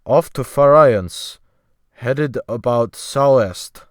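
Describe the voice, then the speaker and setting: A timid, honest Scandinavian seaman, as a man acts him out in an audiobook. He speaks slowly and methodically, picking through his words with a slight accent. The sound is clear and dry. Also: medium pitch, dry recording, pitched above the performer's own voice, lightly acted. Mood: mild, methodical. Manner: slow, methodical, groping for words. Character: timid, frank, slow-spoken Scandinavian sailor. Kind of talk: dramatic audiobook character voice.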